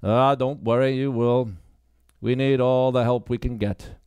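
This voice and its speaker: A man's voice: medium pitch, steady tone, measured pace, darkish tone, clear, resonant tone, dry recording, natural voice. A man voicing an elderly male constable, an easygoing and kindly old-timer in an audiobook. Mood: reassuring, matter-of-fact.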